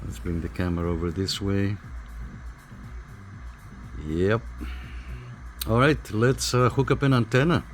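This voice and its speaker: A man giving a casual hands-on equipment review in a halting, informal, explanatory way. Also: on the low side, lively intonation, faint music behind. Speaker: radio hobbyist reviewer. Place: small apartment room.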